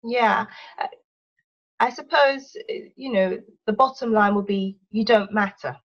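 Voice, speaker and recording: A soft-spoken woman with an English accent, talking thoughtfully as an interview guest, with many "you know" fillers. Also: medium pitch, a little slow, muffled recording. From United Kingdom. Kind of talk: reflective interview talk.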